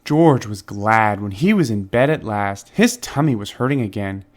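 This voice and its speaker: A man reading a children's story aloud as narrator, phrasing it deliberately and expressively for young listeners. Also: fairly low pitch, animated. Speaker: storybook reader.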